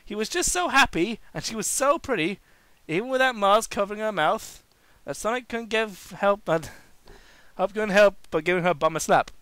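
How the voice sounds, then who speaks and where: A man giving a theatrical, halting amateur dramatic reading of comic fan fiction. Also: sits high, lively intonation, a bit bright, clean tone, no echo.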